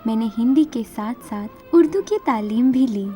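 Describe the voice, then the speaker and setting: A woman's voice: on the high side, lively intonation, a little slow, warm tone, soft background music. A woman whose native language is Marathi, narrating her own life story from a script as a singer.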